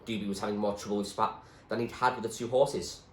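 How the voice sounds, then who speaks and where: A man giving an expressive narration of a storybook, like a teacher reading aloud. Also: fairly low pitch, fast. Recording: echoey room, faint background noise.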